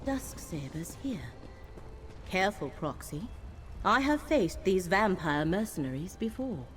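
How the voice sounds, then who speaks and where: A woman acting a solemn, mystical companion in a fantasy video game, speaking in a formal, measured, cryptic way. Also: on the low side, very animated, slight background noise. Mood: wary. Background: game music.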